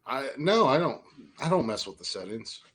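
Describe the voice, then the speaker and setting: Blunt rambling man, mid-pitched. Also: sing-song, moderate pace, a bit bright. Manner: rambling, blunt, swears a lot. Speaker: podcast co-host. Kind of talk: casual profane banter.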